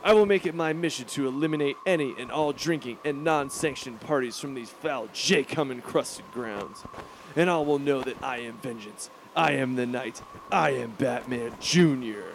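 A man's voice: mid-range voice, normal speed, slight background noise, close to an everyday voice. An actor voicing a spoiled, arrogant teenage rich boy in a comedic cartoon, petulant and pompous in manner. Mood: grandiose, brooding. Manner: pompous, petulant, foul-mouthed.

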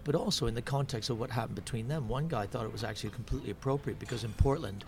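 A man's voice: medium pitch, rapid-fire, vocal fry. A man who is a stand-up comedian, chatting on a podcast, thinking aloud with many restarts. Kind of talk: casual podcast chat. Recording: noisy background.

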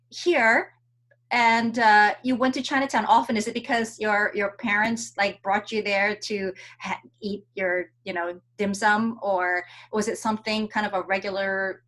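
A woman's voice: medium pitch, fairly bright tone. A woman hosting a casual interview over a video call, talking chattily with many fillers and restarts. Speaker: host.

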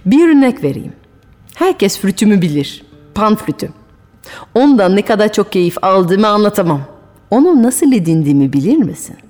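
A woman's voice: medium pitch, very animated, normal speed, warm tone. A woman voicing Pan, a boastful, lonely, mischievous male goat god, teasing and laughing at his own pranks in a storytelling radio monologue. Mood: mischievous, relaxed. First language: French. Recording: music bed with long hall reverb.

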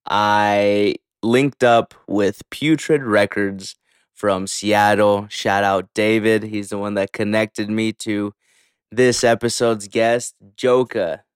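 A man's voice: on the low side, steady tone, slow, smooth, dry recording, clean studio sound. A man hosting a podcast in casual, hyped, slangy talk with some swearing, a native English speaker. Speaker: podcast host.

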